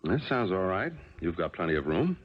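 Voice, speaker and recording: Deep husky American father, middle-aged. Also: sing-song, a little fast. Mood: measured, concerned. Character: measured, tolerant widowed father of an adult daughter. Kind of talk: 1970s radio drama acting. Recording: dull 1970s radio broadcast.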